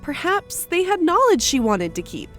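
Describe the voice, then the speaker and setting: A woman voicing a calm, knowing female ally who explains lore in a measured way. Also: very high voice, faint music behind, exaggerated voice. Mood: calm, explaining.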